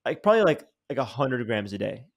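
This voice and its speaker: A young man hosting a podcast, talking in a lively, slangy way with many restarts and repeated words. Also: medium pitch, fast, gravelly. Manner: animated, slangy, says dude and bro, many restarts. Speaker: podcast host. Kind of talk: casual interview chat.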